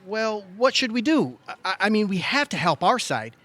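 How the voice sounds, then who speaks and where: A man playing an earnest, hesitant, credulous brother in a comedic audio play, tentative but easily excited. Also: high voice, moderate pace. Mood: eager, anxious. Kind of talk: comedic audio theatre acting.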